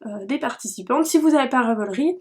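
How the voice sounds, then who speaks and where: High-voiced woman, scattered casual vlog chat. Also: animated, fast, darkish tone. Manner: scattered, self-deprecating, often losing her thread. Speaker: knitting podcast host. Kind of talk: casual rambling vlog talk to viewers.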